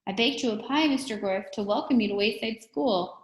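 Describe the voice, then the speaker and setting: A woman voicing a pushy, cheerful school lunch lady with a coaxing, brisk manner. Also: a little high, pitched above the performer's own voice, close to an everyday voice. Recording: echoey room.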